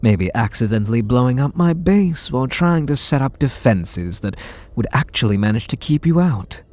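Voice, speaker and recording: A man's voice: mid-range voice, animated, moderate pace, darkish tone, natural voice. A man voicing a bitter, sarcastic supervillain in an ASMR roleplay audio drama, talking in a sardonic, mocking way. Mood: sneering. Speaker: voice actor.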